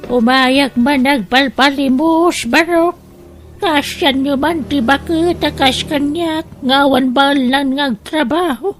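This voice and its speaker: Pitiful hungry elderly woman, played by an actress, audio drama. Plaintive, slow delivery, faint music underneath. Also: high-pitched. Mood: pleading. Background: soft background music.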